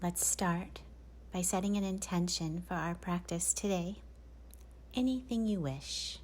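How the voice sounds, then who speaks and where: A woman yoga teacher gently guiding a class through poses and breathing, often dropping to a near whisper. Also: fairly low pitch, measured pace, a little hiss or hum. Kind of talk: guided yoga class cues.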